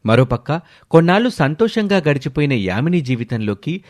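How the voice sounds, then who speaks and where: A man's voice: on the high side, sing-song, normal speed. A man narrating a scripted story as a storyteller, over background music.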